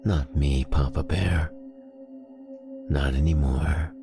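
A man voicing an eerie young woman patient who talks softly, slowly and sleepily. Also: rumbling low, fairly even intonation, vocal fry, over music, exaggerated voice. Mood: dreamy. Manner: soft, slow, sleepy.

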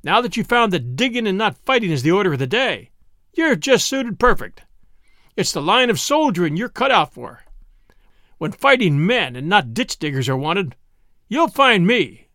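A man's voice: high voice, sing-song, normal speed, fairly bright tone, dry recording, pitched above the performer's own voice, full character voice. A man playing a sulky young camp cook who snarls and sneers at his mess-mates. He keeps the voice raised and swooping, and the sound is dry and close. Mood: defiant. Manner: snarling, sarcastic.

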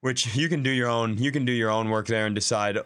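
A man co-hosting a comedy podcast in casual, profane banter, telling things in an animated way. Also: medium pitch, fast, clear, resonant tone.

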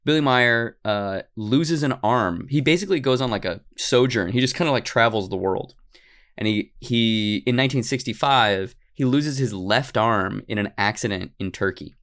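Man, podcast host, casual storytelling, wry, rambling, middle pitch. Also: moderate pace, fairly bright tone.

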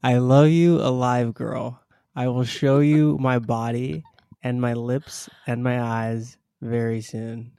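A man reading a text message aloud as a guest, with a deliberate delivery. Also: medium pitch, flat delivery, mellow, smooth, no echo, studio quality. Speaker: guest reader.

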